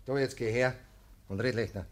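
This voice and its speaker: An actor playing a self-righteous, officious village mayor in a German radio play, speaking insistently in Bavarian dialect. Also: male voice, medium pitch, fairly quick, natural voice. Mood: commanding.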